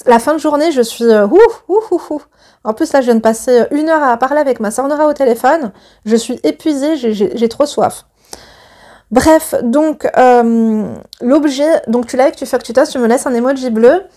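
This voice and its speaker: Chatty woman with a mellow voice. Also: sits high, moderate pace. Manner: chatty, gushing, many fillers and restarts. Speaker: YouTuber reading and commenting on a viewer's letter. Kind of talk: casual chat and reading a letter aloud.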